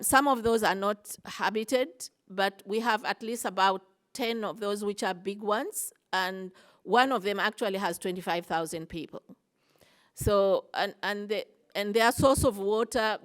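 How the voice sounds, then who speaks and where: A woman's voice: mid-range voice, measured pace. A woman answers questions at a conference breakout session. She talks in a middle register and hesitates often, with fillers and restarts between her points. She explains things at length.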